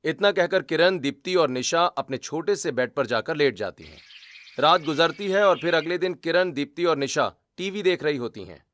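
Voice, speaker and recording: A man's voice: on the high side, lively intonation, normal speed, a bit bright, slight background noise. A man delivering scripted storytelling narration as the narrator of a drama.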